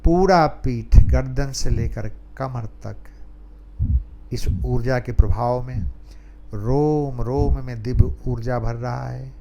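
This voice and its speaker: A man leading a guided meditation calmly, pausing between short phrases. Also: mid-range voice, gravelly, lo-fi sound. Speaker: meditation teacher.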